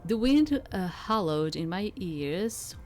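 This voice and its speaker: A woman streaming a game, reading visual novel narration aloud in a measured, dramatic way with casual asides. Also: on the low side, animated, a little hiss or hum. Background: soft game music.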